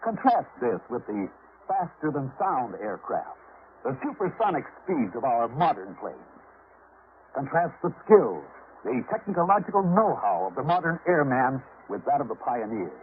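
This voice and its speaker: A male radio announcer delivers formal scripted narration in a mid-century style. His declamatory reading has lively rises and falls. The sound is narrow and tinny, with faint noise. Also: mid-range voice, moderate pace. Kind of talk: 1950s scripted military public service narration. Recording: noisy, tinny old radio recording.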